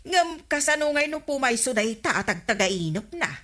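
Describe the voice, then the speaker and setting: A woman's voice: sits high, big pitch swings, moderate pace, fairly bright tone, exaggerated voice. An actress playing a nosy, skeptical village councilwoman with an Ilocano accent in a radio comedy drama. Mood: curious, amused.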